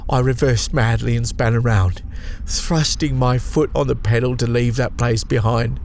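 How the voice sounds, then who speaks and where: A man reading a first-person horror story as a shaken, guilt-ridden survivor haltingly confessing what haunts him. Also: mid-range voice, vocal fry, darkish tone, a little hiss or hum. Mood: panicked, shaken.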